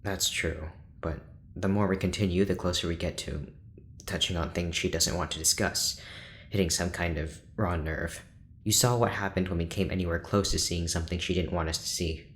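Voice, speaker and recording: A male actor plays a principled, weary neurologist in an audio drama. His voice is low, and he chooses his words slowly and formally, keeping himself guarded. A faint noisy ambience sits behind him. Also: steady tone, a bit bright. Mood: troubled, reflective. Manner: measured, formal, deliberate. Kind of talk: naturalistic audio drama acting.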